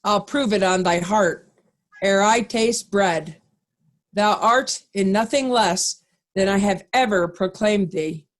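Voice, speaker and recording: A stern and righteous duke, played by a woman, speaks Shakespearean verse at an unhurried pace. The delivery is formal and commanding, like someone used to leading an army. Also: mid-range voice, lightly acted. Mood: accusing, resolute. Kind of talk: Shakespearean reader's theatre.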